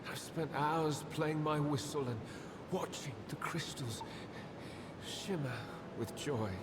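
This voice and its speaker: A man acting a fervent, obsessed young acolyte in a video game, speaking with dreamy, halting reverence. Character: obsessed young acolyte, crystal-mad killer, fervent and haunted. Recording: long cavernous reverb, loud rumbling noise.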